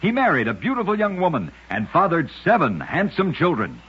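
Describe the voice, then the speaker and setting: A man narrating scripted short tales as a radio host, with measured, dramatic storytelling phrasing and punchy sign-offs. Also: a little high, wildly swooping pitch. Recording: muffled, husky old radio recording.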